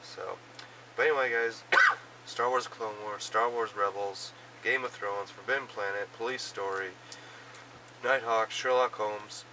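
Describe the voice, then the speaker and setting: A man with a cold, hoarse and coughing, casually rambling through a recap of the films and shows he watched. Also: lowish voice, steady tone, a little slow, recorded from a distance, noisy background. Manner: rambling, many fillers and restarts. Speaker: vlogger reviewing films and shows.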